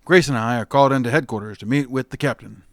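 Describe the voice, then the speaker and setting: A man's voice: mid-range voice, fast talker, a bit bright, natural voice. A man playing an earnest, literal-minded detective dad who acts and narrates in an audio comedy. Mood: baffled, insistent. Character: wisecracking, easily confused detective dad.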